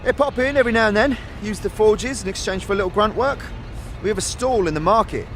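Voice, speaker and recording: Man as a high-voiced friendly teenage apprentice smith. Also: fast talker, fairly bright tone, slight background noise. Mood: friendly, chatty. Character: friendly teenage boy smith, easygoing, encouraging, street slang. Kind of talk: audio drama character acting.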